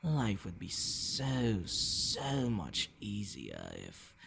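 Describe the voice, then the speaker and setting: A man playing a possessive, menacing vampire in an intimate ASMR roleplay, murmuring and whispering in a gravelly, commanding, condescending way. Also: huge pitch swings, drawn-out words, a bit bright, slight background noise, heavily acted. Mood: menacing, coaxing. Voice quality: gravelly, breathy low murmur dropping into whispers. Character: possessive, menacing male vampire toying with his captive.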